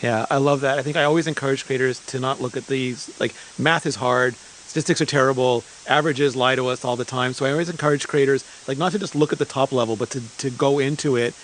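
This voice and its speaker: A man's voice: mid-range voice, steady tone, quick pace, hardly any pauses, slight background noise, low-quality recording. A man from Montreal interviewing in a casual, informal and enthusiastic way. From Montreal, Canada. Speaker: interviewer and creator liaison.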